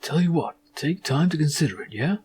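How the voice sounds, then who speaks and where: A man voicing a slick, pushy insurance salesman in an adventure game, husky and wheedling. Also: a little high, expressive, a little fast, darkish tone, slight background noise, close to an everyday voice. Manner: wheedling sales patter with questioning tags.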